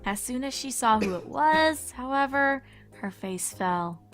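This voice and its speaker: A woman's voice: sits high, expressive, measured pace, fairly bright tone. Woman narrating a story over faint music.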